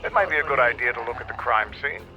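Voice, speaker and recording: Piercingly bright, filtered soldier. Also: male voice, mid-range voice, quick pace, faint music behind. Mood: blunt, appraising. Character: blunt, disdainful war veteran companion. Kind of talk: video game character dialogue.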